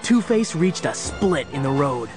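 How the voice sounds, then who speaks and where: An actor playing the dramatic storyteller of a superhero adventure, reading scripted narration. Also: male voice, a little high, sing-song, unhurried, over music.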